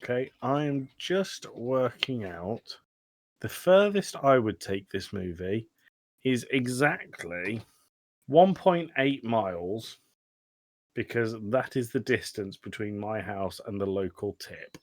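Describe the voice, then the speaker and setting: A man's voice: medium pitch, expressive, slow pace, no room sound. A man hosting a casual film review podcast, sweary and dryly joking. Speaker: podcast host.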